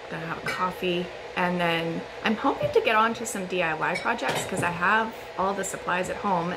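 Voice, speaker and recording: A woman in her thirties chatting casually to the camera in her home dining room, relaxed and talky with little fillers. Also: on the low side, creaky, background noise. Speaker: vlogger.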